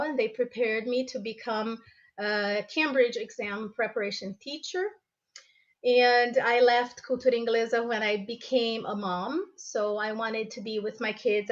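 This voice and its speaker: A woman from Brazil, an English teacher, hosting an online group call in a measured, welcoming way. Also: a little high. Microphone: video call. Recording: dull lo-fi call audio.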